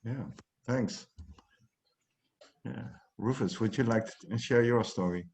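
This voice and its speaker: A man hosting an interview, speaking gently and hesitantly with many fillers; Dutch is his first language. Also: medium pitch, slow pace. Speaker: interviewer, host. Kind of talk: interview host.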